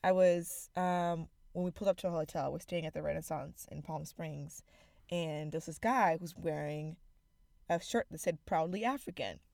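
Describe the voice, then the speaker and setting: A young woman from Zimbabwe, co-hosting a podcast in candid, animated casual chat with frequent fillers. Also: sits low, normal speed, gravelly, no echo. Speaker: podcast co-host.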